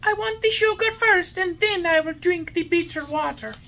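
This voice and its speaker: A woman voicing a whiny, stubborn puppet boy in a high, shrill voice. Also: moderate pace, creaky, exaggerated voice. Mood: whining. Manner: shrill, whining, loud.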